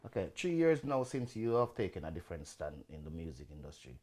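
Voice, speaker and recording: Podcast host, lively lilt. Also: male voice, sits low. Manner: measured, asks short structured questions. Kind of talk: interview questions.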